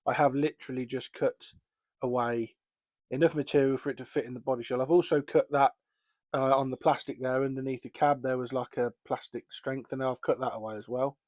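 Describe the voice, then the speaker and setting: A hobbyist with a voice still a little rough from a recent cold talks plainly through each step of his work. His pitch sits in an ordinary middle range, and the sound is close and dry with almost no room around it. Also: fairly even intonation, fairly quick, a little mellow, no room sound. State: recovering from a cold. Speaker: model railway hobbyist. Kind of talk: casual workbench narration.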